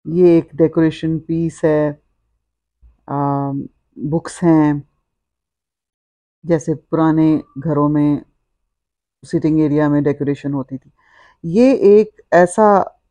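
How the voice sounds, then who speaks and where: A woman's voice: deep voice, warm tone, dry recording, lo-fi sound. A middle-aged woman, narrating a walk-through vlog in a descriptive, explanatory way. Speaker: vlogger.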